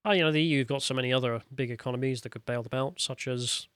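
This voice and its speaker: A man's voice: mid-range voice, racing, fairly bright tone, no room sound, studio quality. A man co-hosting a podcast, talking casually, laughing easily and reading viewer comments aloud. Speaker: podcast co-host.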